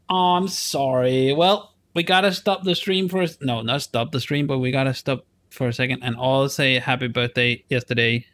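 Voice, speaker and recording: A man in his forties chatting casually while he streams a game, playful and talkative, speaking into a headset in a small room. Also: a little high. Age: 40s. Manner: chatty, playful, talks to chat while playing. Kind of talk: casual live stream chat.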